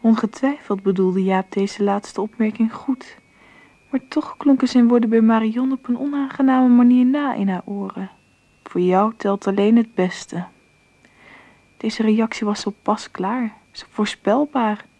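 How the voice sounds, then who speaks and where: Mellow, reflective female narrator. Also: on the high side, a little slow, no echo. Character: reflective daughter narrating her family's story. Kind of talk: scripted radio drama narration.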